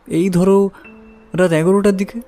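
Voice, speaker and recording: Guy doing evasive shopkeeper, kinda high voice, defensive, music behind, audio drama. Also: steady tone, normal speed, warm tone, lightly acted. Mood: casual, wary. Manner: defensive, colloquial. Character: wary, evasive male roadside shopkeeper. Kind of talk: audio story drama acting.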